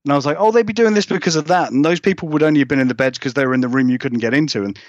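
A man's voice: on the high side, racing, no echo, lo-fi sound. A man chatting casually as an interviewed author, rambling and self-deprecating, with many hedges as he goes. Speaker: guest author being interviewed.

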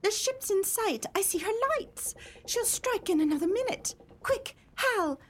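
An innocent, anxious young girl, played by a woman, with a very high voice that swoops about. She speaks in halting, breathless earnest, in radio melodrama style. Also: animated. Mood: urgent fear. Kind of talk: melodramatic radio play acting.

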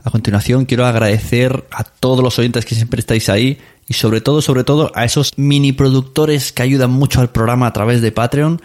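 A man's voice: medium pitch, steady tone, fairly quick, a little mellow. Effusive, informal male podcast host. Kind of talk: casual podcast talk, reading reviews.

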